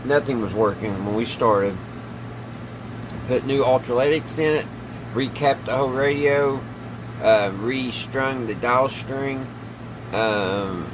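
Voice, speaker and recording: A man with a Southern US accent talking casually and plainly through a hands-on repair video, folksy in manner. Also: mid-range voice. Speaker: host of a radio repair video. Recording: steady background hum and radio noise.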